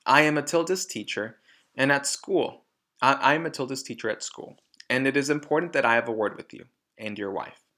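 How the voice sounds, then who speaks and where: A man voicing a gentle, earnest woman schoolteacher in a storybook, speaking politely and with measured care. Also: medium pitch, close to an everyday voice.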